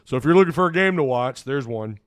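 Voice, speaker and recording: A man talks through a late-night game recap, worn out and losing his voice from yelling earlier. He rambles and restarts his sentences. His voice stays smooth, and the sound is dry and close to the mic. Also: mid-range voice, lively intonation, fast, dry recording. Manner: rambling, restarts often, tired and loose. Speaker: sports podcast host. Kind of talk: casual solo recap talk.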